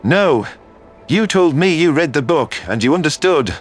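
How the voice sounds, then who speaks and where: Guy acting as a worried loyal human soldier buddy in a sci-fi audio drama, slightly high voice, soft music under it. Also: lively intonation, moderate pace. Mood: hurt, firm. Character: human man, concerned, hurt, loyal soldier friend.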